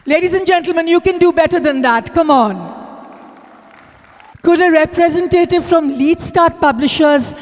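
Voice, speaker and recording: A middle-aged Indian woman hosts a formal event on a handheld microphone. Her voice is high and she addresses the audience emphatically. Long reverb from the large ballroom surrounds every phrase. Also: normal speed. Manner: emphatic, announcing to a crowd. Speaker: event host. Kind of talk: formal vote of thanks at a live event. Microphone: handheld microphone through PA.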